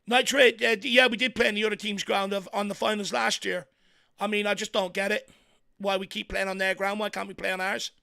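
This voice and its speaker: An Irish man hosting a fan watchalong, giving excitable partisan live commentary on a football match with a croaky, worn voice. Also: high voice, brisk. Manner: excitable partisan, shouts encouragement at players. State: voice going croaky from hours of talking.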